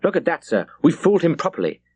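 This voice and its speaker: A man voicing a blunt, eager, excitable naval lieutenant who exclaims loudly in an audiobook. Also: a little high, fast, breathy edge, no echo, voice pushed up. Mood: gleeful. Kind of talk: dramatised audiobook character.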